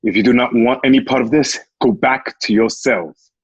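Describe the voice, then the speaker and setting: Man acting husky, noble gladiator leader. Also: mid-range voice, moderate pace, no room sound, muffled recording. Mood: resolute. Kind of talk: amateur radio play acting.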